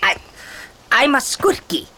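A woman voicing a gruff, terse male forest hunter creature in a fantasy audio drama, blunt and somewhat breathy. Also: slow pace, pushed and bright, slight background noise. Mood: wary. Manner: blunt clipped sentences.

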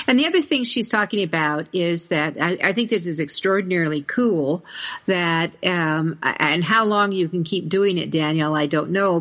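A woman's voice: fairly low pitch, a bit bright. A woman hosting a podcast conversationally, emphatic and repeating her points, on a dull recording. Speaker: podcast host. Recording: dull, muffled lo-fi audio.

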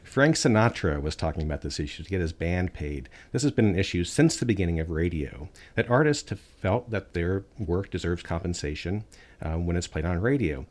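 A man with a very deep, low voice speaks as an interview guest. He argues earnestly and with emphasis. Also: expressive, fairly quick, darkish tone. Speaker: guest, artists' rights advocate. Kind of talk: interview answers.

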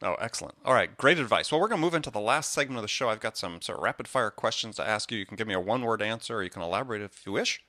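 A man hosting a talk show at a quick clip, his voice bright and forward. He speaks fairly low, cheerfully affirming his guest before firing off the next question. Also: animated. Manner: brisk, affirming, moves the talk along. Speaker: podcast host. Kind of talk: interview hosting.

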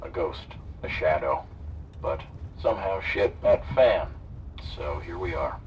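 A calm, sardonic hijacker in an audio drama who coaxes and threatens. His voice is very deep and sits at a distance from the mic, muffled, with faint noise behind. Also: unhurried. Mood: coaxing. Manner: calm, sardonic, persuasive, turning preachy. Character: smooth male eco-activist hijacker, menacing. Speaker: radio drama character.

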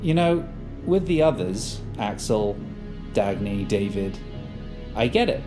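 An actor playing a smug, controlling leader in an audio drama, coaxing and condescending in a falsely reasonable way. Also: male voice, lowish voice, big pitch swings, slow pace, over music.

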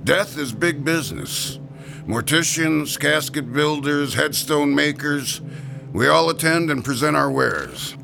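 A man's voice: fairly high pitch, expressive, unhurried, creaky, music in the background, heavily acted. An actor playing a jovial, heavyset male gravestone carver in a radio drama, folksy and darkly joking. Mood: jovial, teasing.

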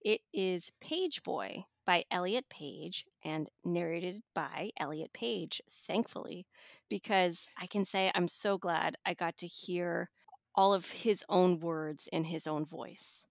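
Thoughtful woman with a low, creaky voice. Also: takes it slowly, dry recording, studio quality. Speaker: magazine editor reviewing an audiobook as guest. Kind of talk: conversational book review.

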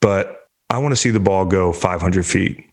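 Male sports host, 30s, lowish voice, loose profane rambling delivery, slightly stuffy. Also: normal speed. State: congested. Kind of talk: casual sports talk.

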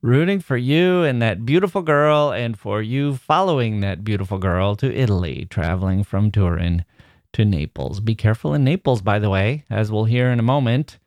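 A man hosting a literature podcast, chatting casually and reading out a listener's email, self-deprecating and quick to chuckle. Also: on the low side, animated, darkish tone, no echo, clean studio sound. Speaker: podcast host.

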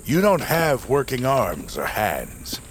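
A jovial old laborer of the mountains for a fantasy audio drama. He rambles fondly and heartily, his pitch moving freely up and down. There is faint noise behind the voice. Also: huge pitch swings, slow, exaggerated voice. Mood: warm, teasing. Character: jovial, ale-loving old mountain laborer, humble storyteller.

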